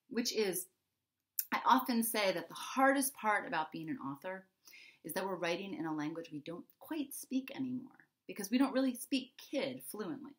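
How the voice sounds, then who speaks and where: Lower-pitched middle-aged woman, expressive children's storyteller. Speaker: children's author reading her book and giving a writing prompt. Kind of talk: read-aloud and explaining to young children. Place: small indoor home room.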